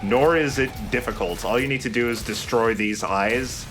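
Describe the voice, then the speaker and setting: A man's voice: medium pitch, moderate pace. A man giving casual, explanatory game commentary as a let's play host. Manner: explanatory, conversational. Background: game music.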